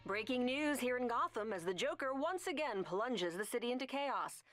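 Brisk, polished TV news anchor. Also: female voice, a little high, expressive, fairly bright tone, faint music behind. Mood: urgent, professional. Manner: brisk, formal newscaster delivery. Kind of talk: parody news broadcast in animated game.

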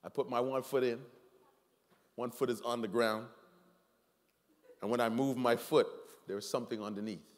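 A middle-aged man preaching a sermon as a pastor, telling personal stories with animated swings between loud pleading and quiet reflection. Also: medium pitch, moderate pace, pauses often. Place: large church sanctuary, preaching from the stage. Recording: long hall reverb with faint music.

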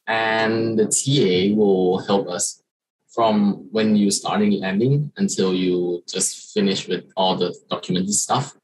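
A young man from Thailand, a Thai native speaker, giving a slide presentation as an international student on a video call, halting with many fillers and restarts. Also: fairly low pitch, slight background noise, rough recording. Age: young adult. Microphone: video call webcam.

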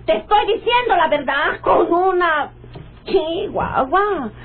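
An actress playing a desperate, sharp-tongued rural cousin with a Mexican accent, pleading in melodramatic radionovela style. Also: female voice, very high voice, expressive, slow, heavily acted. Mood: urgent, exasperated. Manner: insistent, imploring, melodramatic. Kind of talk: radionovela acting. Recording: dull lo-fi old radio drama recording.

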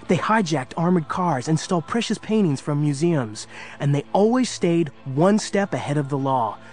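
A man's voice: on the high side, a little mellow. A man narrating an audio story in a dramatic, scripted storytelling style. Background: music.

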